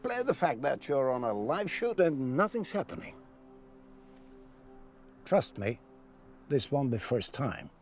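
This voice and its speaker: A man's voice: medium pitch, very animated, a little slow, long pauses, faint music behind. An actor in his sixties playing a weary, sardonic news cameraman in a film drama, speaking outdoors. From Hungary. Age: 60s. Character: weary, wry older male news cameraman. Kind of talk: film acting, dramatic dialogue. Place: outdoors in an open field. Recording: noisy open-air film dialogue.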